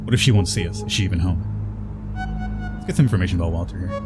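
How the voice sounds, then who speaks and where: A man's voice: sits low, wildly swooping pitch, quick pace, loud music behind the voice. A man doing a let's play, reading game dialogue aloud and commenting in a casual, hesitant, conversational way.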